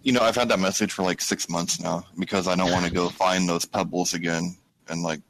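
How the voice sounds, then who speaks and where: A man with a low voice chats casually as a podcast co-host. His delivery is informal gamer talk, well below the usual male pitch. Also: fairly even intonation, brisk, a bit bright, poor audio. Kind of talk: casual chat about gaming.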